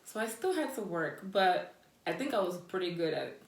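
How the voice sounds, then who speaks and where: A woman's voice: fairly low pitch, moderate pace, vocal fry. A woman in her twenties co-hosting a podcast, chatting casually and laughing often. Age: 20s. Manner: lively, laughs often, quotes others in voices. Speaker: podcast co-host. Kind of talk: casual chat. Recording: echoey room.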